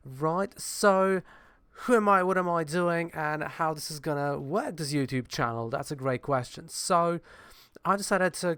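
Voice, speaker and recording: A man's voice: fairly high pitch, a bit bright, smooth, no room sound. A young man whose first language is Polish, hosting his own channel in a loose, rambling vlog chat with many fillers and self-corrections. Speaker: channel host.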